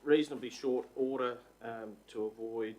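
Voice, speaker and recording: A man, a council CEO, giving formal procedural advice in a halting way full of ums and restarts. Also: mid-range voice, steady tone, darkish tone, slight background noise. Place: council chamber.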